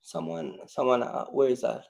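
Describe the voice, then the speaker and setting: A man hosting a casual live question-and-answer chat, speaking in a halting, repetitive way and often addressing his audience directly. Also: medium pitch, flat delivery, gravelly, darkish tone. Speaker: livestream host.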